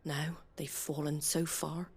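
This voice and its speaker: A blunt, world-weary tavern keeper in a game cutscene. Her voice sits low and husky, with a plain, colloquial turn of phrase. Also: level tone, slow pace, a little mellow, heavily acted. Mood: bitter, grave. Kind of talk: video game cutscene acting.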